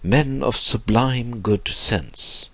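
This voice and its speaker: A man voicing a lonely old gentleman in a storybook, effusive and cajoling, with theatrical pleading. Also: mid-range voice, drawn-out words, dry recording, voice pushed up, exaggerated voice. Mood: coaxing. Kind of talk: storybook character dialogue.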